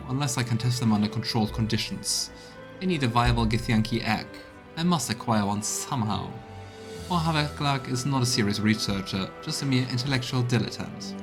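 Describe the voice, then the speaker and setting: A man doing let's play commentary as a game streamer, talking through the game and reading its texts aloud. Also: medium pitch. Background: game music.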